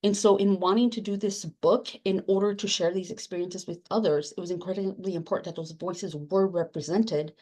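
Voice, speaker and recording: A woman's voice: on the low side, fairly even intonation, brisk, few pauses, a little mellow. A woman whose first language is Spanish, answering a panel question earnestly on a video call, with many fillers and restarts. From immigrant, first generation. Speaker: book editor on a panel.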